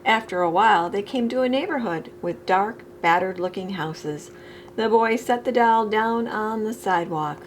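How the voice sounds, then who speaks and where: Woman, storytime reader, expressive picture book narration, deliberate phrasing, mid-range pitch, faint background noise. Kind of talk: expressive children's picture book read-aloud.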